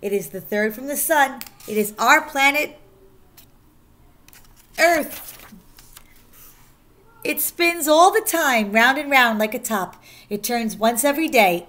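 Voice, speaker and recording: Higher-pitched woman reading a children's book aloud. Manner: animated, sing-song delivery of rhyming verse, stressing key words. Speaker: teacher reading aloud to pupils. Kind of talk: expressive children's picture book read-aloud.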